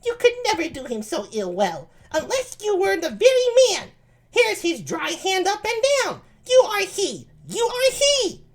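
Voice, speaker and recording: A woman plays a lady at a masked ball in a read-aloud stage comedy. Her voice is thin and very high, with lively swoops. She is teasing and insists that she is right. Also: a little slow, full character voice. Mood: teasing, insistent. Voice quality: thin high-pitched put-on voice.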